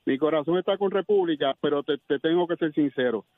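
A man's voice: a little high, brisk, no room sound. Middle-aged man on a tinny phone line. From born in Dominican Republic, raised in Puerto Rico. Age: 50s. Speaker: caller. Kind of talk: casual sports call-in chat.

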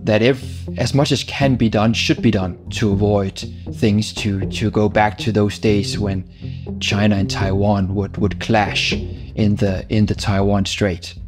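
Casting: male journalist guest, lowish voice, unhurried explaining, soft music behind. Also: little pitch movement. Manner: measured, with pauses and fillers. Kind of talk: explanatory interview answers.

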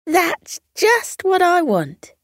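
A woman puts on an eager little boy animal for a bedtime storybook. His voice is pitched way up and stretches its words out slowly. He sounds cheerful and excitable. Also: no echo, studio quality, heavily acted. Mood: joyful.

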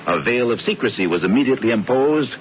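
An actor playing a brisk, smugly amused man in a radio drama, teasing and declaiming grandly. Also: medium pitch, expressive, lightly acted. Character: brisk, theatrical American man bossing his brother, smugly amused. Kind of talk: 1970s radio drama acting. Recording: dull, noisy old radio broadcast.

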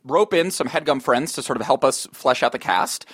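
A man's voice: medium pitch, moderate pace, fairly bright tone, breathy edge. A man co-hosting a podcast in casual, bantering chat. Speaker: podcast co-host.

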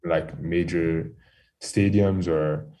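A man's voice: low-pitched, very slow. A man in his thirties with a Haitian accent, French his first language, chatting easily as a guest on a podcast. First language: French and Haitian Creole. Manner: relaxed, easygoing, repeats words as he thinks. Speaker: guest DJ and producer. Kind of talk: casual interview chat.

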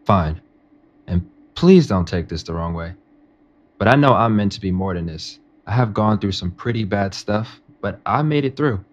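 An actor playing a confident, ambitious nineteen-year-old estate aide in a modern audio drama, speaking respectfully and earnestly. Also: male voice, fairly low pitch, animated, normal speed, highs cut off. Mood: earnest, determined. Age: young adult. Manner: respectful, earnest, self-assured.

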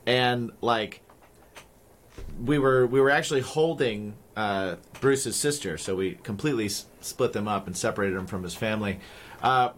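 A man's voice: lowish voice, moderate pace, a bit bright. A man as a podcast guest, telling stories casually and playfully, repeating himself for emphasis. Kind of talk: casual storytelling chat.

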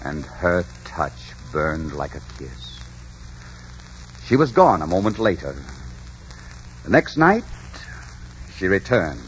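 An actor playing a commanding, ardent Spanish conquistador who also narrates, in a dramatic 1940s radio adventure style. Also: male voice, low voice, sing-song, takes it slowly, pauses often, a little hiss or hum, full character voice. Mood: wistful narration. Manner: dramatic, authoritative. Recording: dull 1940s radio recording, music behind.

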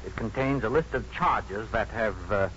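A man's voice: fairly low pitch, normal speed, fairly bright tone, natural voice. A male actor playing a smug, officious university professor in a 1950s radio drama, stiff, pompous and insinuating. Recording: muffled, noisy 1950s radio recording.

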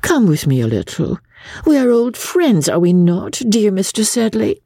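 A woman's voice: mid-range voice, very animated, moderate pace, warm tone, dry recording, clean studio sound, pitched above the performer's own voice. A woman voicing a wistful, sly little lady gambler from a storybook, coaxing and theatrical, with a foreign accent. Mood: wistful, pleading.

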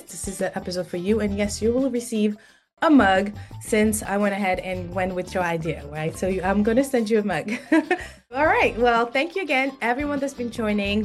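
A woman from Senegal whose first language is French, hosting a solo podcast episode in a chatty, laughing way. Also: mid-range voice. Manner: chatty and warm, laughs often. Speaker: podcast host.

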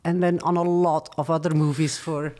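Woman, middle-aged, lowish voice, measured storytelling. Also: flat delivery, dark tone. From Belgium. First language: not English. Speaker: guest, former studio executive. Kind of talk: interview conversation.

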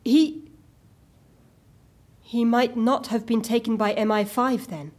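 A woman's voice: a little high, fairly even intonation, slow, darkish tone. A woman playing a panicky, anxious secret agency member in an audio drama, speaking with dramatic alarm. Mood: panicked.

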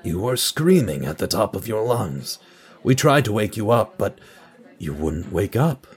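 A man playing a concerned older office co-worker in an audio drama, questioning gently. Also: lowish voice, sing-song, a little slow, husky, full character voice. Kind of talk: audio drama character dialogue.

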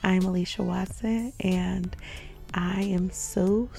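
A woman hosting her own faith podcast in a casual solo talk. She is hoarse and sounds upbeat and reflective, with frequent ums. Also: lowish voice, fairly even intonation, slow, dark tone. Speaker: podcast host.

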